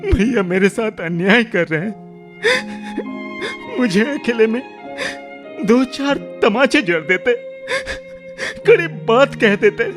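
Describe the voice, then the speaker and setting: A man playing a remorseful, tearful younger brother in a radio drama, pleading haltingly and repeating his words. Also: high-pitched, animated. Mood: hurt, tearful. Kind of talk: Hindi radio drama acting. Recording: music under the voice.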